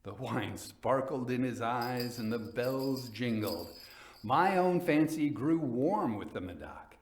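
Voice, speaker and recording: A male storyteller narrates in a slow, theatrical style. His mid-pitched voice moves expressively through the literary phrasing, with noticeable room echo. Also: lively intonation, a little hiss or hum. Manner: theatrical, measured.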